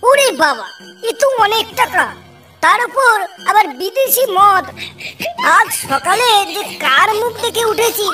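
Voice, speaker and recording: Super high cartoon boatman done by a woman, rustic n greedy, loud music behind, sounds far off. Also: expressive, a little slow. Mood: eager, greedy. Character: male village boatman, fond of drink, wary yet greedy. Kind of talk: Bengali cartoon dialogue. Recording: distant voice under loud music.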